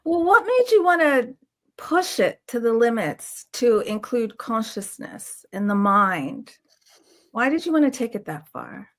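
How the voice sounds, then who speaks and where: A woman's voice: on the high side, big pitch swings, measured pace. A woman hosting a conversational interview, putting questions to her guest. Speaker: podcast host, interviewer.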